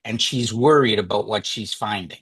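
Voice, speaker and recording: A man leading a journalism writing workshop in a chatty, conversational way, full of fillers and quick "right?" checks. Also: fairly low pitch, a bit bright, breathy edge, dry recording. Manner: chatty, many fillers and restarts, asks "right?".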